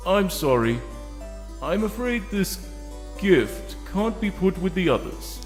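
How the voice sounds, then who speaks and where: A man plays a reluctant cartoon pony chamberlain, formal and hesitant. His fairly high voice rings with long reverb over faint music. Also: sing-song, slow pace, heavily acted. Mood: apologetic, formal. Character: hesitant, reluctant pony royal chamberlain, stuffy male official.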